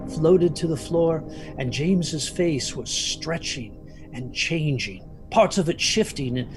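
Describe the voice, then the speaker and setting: An actor playing a haunted older grandfather, husky-voiced, telling a ghost story of his youth with halting intensity. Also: fairly high pitch. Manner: halting, intense, repeats himself. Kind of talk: dramatic storytelling.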